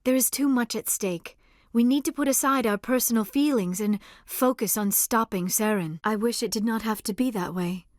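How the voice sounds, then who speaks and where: An actress playing a gentle, earnest, shy young alien researcher in a video game, speaking in careful, formal, measured phrases. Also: female voice, on the high side, dry recording, studio quality, natural voice. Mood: concerned, earnest. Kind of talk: video game dialogue acting.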